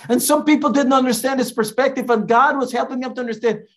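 A man from Guyana, an evangelist, preaching a sermon in a slow, deliberate way with emphatic rises. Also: extremely high pitch, steady tone.

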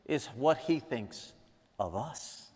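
A man's voice: medium pitch, lively intonation, takes it slowly, darkish tone. A man from Guyana preaching a sermon and teaching a lesson, emphatic, repeating key lines for weight. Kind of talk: sermon with prayer. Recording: long reverb in a large hall.

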